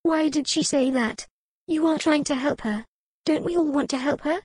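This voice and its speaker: A woman's voice: sits high, normal speed, darkish tone, dry recording, clean studio sound, voice pushed up, natural voice. Woman doing anxious little girl, pleading. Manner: pleading, worried. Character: earnest anxious little girl, about seven.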